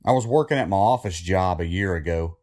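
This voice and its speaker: An actor playing a troubled condemned killer who confesses in a plain-spoken, easily worked-up way, in a horror audio drama. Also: male voice, low-pitched, a little fast, darkish tone, close to an everyday voice. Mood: haunted recollection.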